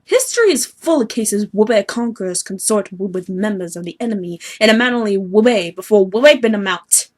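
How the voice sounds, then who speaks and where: A woman's voice: medium pitch, animated, normal speed, hardly any pauses, natural voice. A woman voicing a haughty young male sea troll who schemes and sulks, pompous and petulant, in amateur webcomic acting. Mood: condescending. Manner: pompous, petulant, self-pitying.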